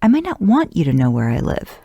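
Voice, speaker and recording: A woman voicing a guarded, self-deprecating woman with dry humour in an audio story, brisk and deflecting. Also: fairly low pitch, wildly swooping pitch, creaky, dark tone, dry recording, highs cut off, heavily acted. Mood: wry, deflecting.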